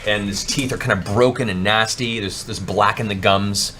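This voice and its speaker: A man's voice: fairly low pitch, steady tone, moderate pace, pushed and bright. A man narrating a tabletop fantasy game as game master, with a dramatic, descriptive storytelling manner.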